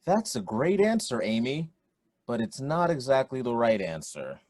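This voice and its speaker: An actor playing a jovial quiz show host in a radio play, with theatrical announcer delivery. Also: male voice, mid-range voice, very animated, moderate pace, darkish tone, dry recording, studio quality, faint music behind. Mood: showy, upbeat. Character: young man hosting a quiz show, jovial showman. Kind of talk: radio play acting, game show emcee patter.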